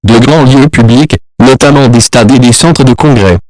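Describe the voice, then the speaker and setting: A man reading a scripted emergency broadcast in a formal, measured manner. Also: medium pitch, darkish tone, no echo, rough recording.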